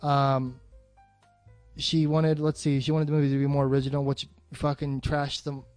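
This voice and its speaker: A man's voice: mid-range voice, flat delivery, moderate pace, a little mellow, smooth, soft background music. A man chatting casually about film as a podcast co-host, blunt and freely swearing.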